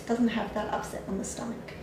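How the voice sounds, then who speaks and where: Higher-voiced woman explaining supplements. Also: little pitch movement, fairly quick, a little mellow, slight background noise. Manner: chatty, explanatory, with frequent fillers and light laughs. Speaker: clinic staff giving supplement advice. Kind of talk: casual health chat. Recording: echoey room, mic at a distance.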